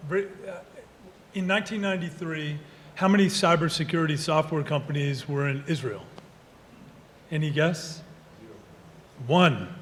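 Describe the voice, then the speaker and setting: A man speaking on a conference panel who asks the audience questions and answers them himself. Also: fairly high pitch. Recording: echoey hall with faint noise.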